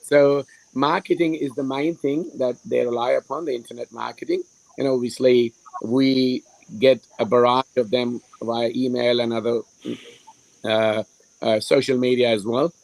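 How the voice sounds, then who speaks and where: A hoarse man on a video call panel, his voice gravelly with fry. He talks hesitantly with plenty of fillers, and the sound is close and dry. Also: medium pitch, little pitch movement, normal speed, a little mellow, dry recording, rough recording. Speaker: hair restoration surgeon, panelist. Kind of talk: live stream panel discussion.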